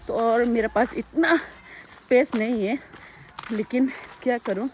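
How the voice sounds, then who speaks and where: A chatty young woman vlogs on a phone as she walks through rural countryside, her voice a touch high. She swings between loud exclamations and quiet asides. A faint outdoor hiss sits under her voice. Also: unhurried. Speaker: vlogger. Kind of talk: casual vlog narration while walking outdoors. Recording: faint outdoor background noise.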